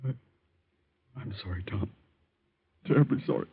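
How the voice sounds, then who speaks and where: An actor playing a guilt-ridden, earnest young doctor with an American accent, husky and emotional in old-time radio style. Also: male voice, fairly low pitch, heavily acted. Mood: guilty, anguished. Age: young adult. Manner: halting, emotional. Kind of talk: 1940s radio acting. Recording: muffled old radio recording.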